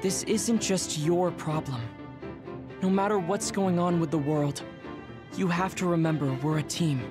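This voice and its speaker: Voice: medium pitch, unhurried, natural voice. An actor playing a frank but caring young analyst in a video game, explaining things carefully. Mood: supportive. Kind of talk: video game cutscene acting. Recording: clear music behind the voice.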